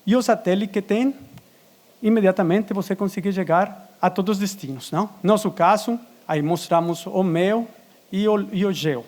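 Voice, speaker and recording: A man giving a conference presentation in a language that is not his own, talking haltingly with frequent restarts and fillers. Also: sits high, a little mellow. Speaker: conference speaker. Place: conference stage.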